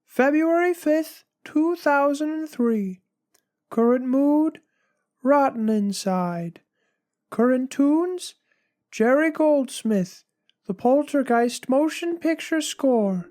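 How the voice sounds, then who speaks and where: A woman's voice: high-pitched, animated, slow pace, a little mellow. A woman giving a dramatic reading of a personal journal. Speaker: reader in a dramatic reading of a fictional blog. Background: background music.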